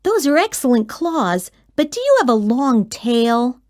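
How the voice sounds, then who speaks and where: A woman's voice: a little high, big pitch swings, a little slow. A woman voicing a young boy in a storybook, nervous but picky and cheeky. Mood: insistent.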